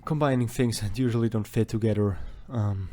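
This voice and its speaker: Man rambling casually, mellow low voice. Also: a little fast. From Austria. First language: German. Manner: rambling, self-deprecating, chatty. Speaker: artist vlogger. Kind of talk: casual voice-over while drawing.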